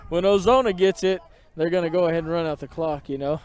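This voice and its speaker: Male color commentator, high voice, relaxed and chuckling, outdoor game. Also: fast, clean tone. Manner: easygoing, laughs often. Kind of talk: high school football commentary.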